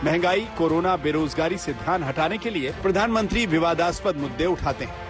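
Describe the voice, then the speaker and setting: Higher-pitched man narrating news over music. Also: brisk. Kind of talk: scripted news report narration. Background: background music.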